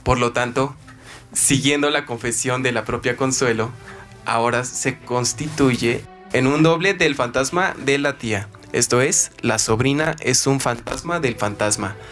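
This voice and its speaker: A man's voice: medium pitch, unhurried, fairly bright tone, soft background music. A man narrating scripted literary commentary in a formal, rhetorical manner.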